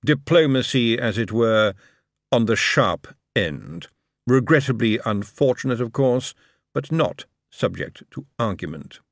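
A man acts out a suave tyrant in a storybook. He speaks slowly and precisely, with cool irony and quiet menace, in a very dry acoustic. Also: medium pitch, wildly swooping pitch, no echo, exaggerated voice. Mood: cool, menacing. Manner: measured, ironic, precise.

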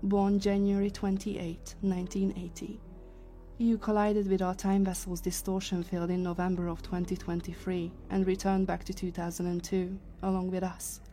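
A woman acting as a composed female leader of time travelers in a sci-fi audio drama. Her voice is mellow and mid-pitched, measured and authoritative, with faint music underneath. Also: level tone, lightly acted.

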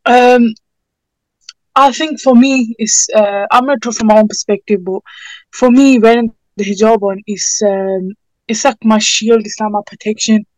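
A woman's voice: a little high, steady tone, moderate pace, a little mellow, no echo, low-quality recording. A sixteen-year-old young woman with a British accent, giving informal, earnest and somewhat hesitant answers in an interview. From United Kingdom. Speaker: interviewee, student campaigner.

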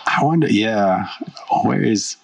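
A man's voice: lowish voice, animated, takes it slowly, vocal fry, recorded from a distance. A man co-hosting a podcast, chatting casually and laughing often. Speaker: podcast co-host. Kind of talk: casual chat.

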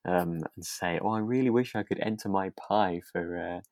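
A woman's voice: very deep voice, normal speed, darkish tone, no room sound, studio quality. A woman co-hosting a podcast, chatting casually about a drama in a hesitant, giggly way, thinking aloud. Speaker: podcast co-host. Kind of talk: casual chat reviewing a radio drama.

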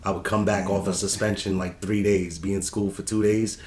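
A very deep-voiced young man with a Boston accent tells his life story in a loose, blunt way, full of street slang. He sounds laid-back. The voice carries in an echoey room over a faint hiss. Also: level tone, brisk, darkish tone. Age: young adult. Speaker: podcast guest. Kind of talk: casual storytelling chat.

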